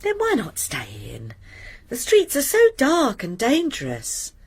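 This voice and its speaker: Anxious, fretful wife; husky, very expressive pitch. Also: female voice, measured pace, full character voice. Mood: pleading. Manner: pleading, worried. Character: anxious middle-aged wife, tender and fretful. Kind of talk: radio drama acting.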